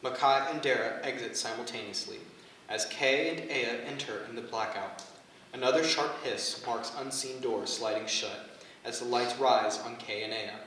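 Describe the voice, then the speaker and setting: A man's voice: medium pitch, normal speed, sharp tone. A man reading stage directions as scripted narration for a staged reading of a play. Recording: reverberant hall, distant mic, faint noise.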